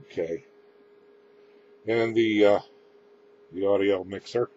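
Male demo presenter, low pitch, plain halting delivery, casual and unscripted, muffled camera sound. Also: a little mellow. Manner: halting, matter-of-fact explaining. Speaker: presenter demonstrating homemade radio equipment. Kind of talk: casual unscripted equipment demonstration. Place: indoors, small room with radio gear. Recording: dull lo-fi camera audio, clear background noise.

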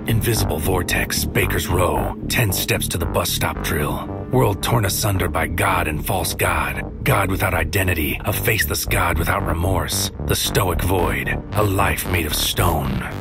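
A deep-voiced, tormented, brooding prophet of doom. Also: male voice, a little slow, vocal fry, few pauses. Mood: haunted, brooding. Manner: solemn, intense, incantatory, often dropping to whispers. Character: tormented brooding man wielding godlike destructive power. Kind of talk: dark poetic first-person monologue in sci-fi audio drama. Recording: loud music under the voice. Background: loud music bed.

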